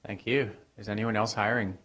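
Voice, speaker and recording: Brisk young man hosting a live event. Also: on the low side, fairly even intonation, a bit bright, slightly rough. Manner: brisk, upbeat moderator. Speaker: event host. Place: small event stage with audience. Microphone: handheld mic.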